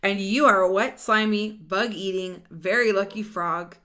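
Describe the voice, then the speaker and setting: A woman's voice: mid-range voice, unhurried. A woman voicing a boastful, hungry wolf in a read-aloud picture book. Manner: loud, boastful.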